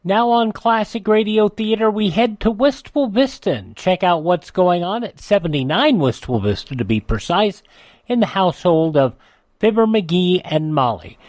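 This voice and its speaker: Male radio host, lively announcing, dry close studio sound. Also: high voice, moderate pace, no room sound. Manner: smooth promotional delivery.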